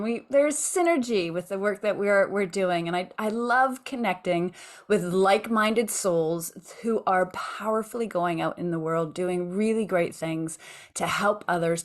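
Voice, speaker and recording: A woman hosting an interview podcast, talking enthusiastically and effusively, often restarting her phrases. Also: on the low side. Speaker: podcast host.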